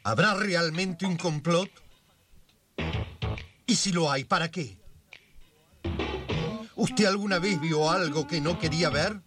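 A man's voice: on the high side, slow, pauses often. Argentine male radio serial narrator over music. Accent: Argentine, Buenos Aires. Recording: music behind the voice.

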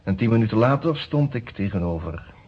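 An actor playing a male defence lawyer in a radio play, formal and deliberate, with hesitant pauses. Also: fairly low pitch, expressive, slightly rough. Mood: suspenseful narration. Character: male defence lawyer, narrating his case, hesitant under questioning. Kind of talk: Dutch radio play narration and dialogue. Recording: dull, noisy old radio recording.

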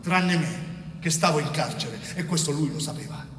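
An actor as a high-pitched, anguished wronged man. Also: normal speed, noisy background, close to an everyday voice. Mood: anguished, accusing. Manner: intense, incantatory, repeating phrases. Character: wronged middle-aged innocent man, anguished, bitter, weary. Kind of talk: dramatic theatre monologue. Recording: echoey theatre hall, distant mic, music.

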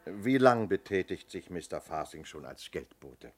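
An actor playing a businesslike police inspector in a 1970s German radio crime play, asking clipped, matter-of-fact questions. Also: male voice, low voice, sing-song, a little slow, breathy edge, full character voice. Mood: probing, calm.

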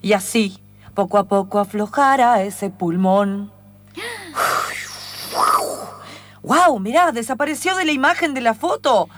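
Woman playing a high-voiced, breezy Argentine singing healer. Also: takes it slowly, long pauses, fairly bright tone. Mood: sing-song, self-admiring. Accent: Argentine (Rioplatense). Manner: soothing instructions breaking into sung rhymes. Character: vain money-minded singing healer woman. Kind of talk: improvised radio theatre.

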